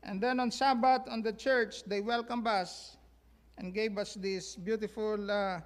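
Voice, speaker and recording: A man with a Filipino accent giving a mission trip report to his church, halting with many fillers and sounding sluggish from jet lag. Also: high-pitched, steady tone. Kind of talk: church announcement talk. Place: church sanctuary.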